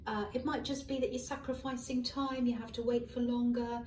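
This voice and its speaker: A hoarse woman giving a casual tarot reading, musing aloud with many ums and self-corrections, recorded on a faulty microphone. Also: a little high, little pitch movement, brisk, darkish tone, a little hiss or hum. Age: adult. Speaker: tarot reader. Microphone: malfunctioning microphone at a distance. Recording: echoey room, far from a faulty mic. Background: faint passing cars.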